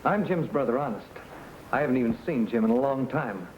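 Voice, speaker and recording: Young western radio tough, male actor, mid pitch, terse and insistent, over a faintly noisy old recording. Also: expressive, fairly quick, dull audio, natural voice. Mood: protesting, earnest. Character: young outlaw and his twin brother, hard and insistent.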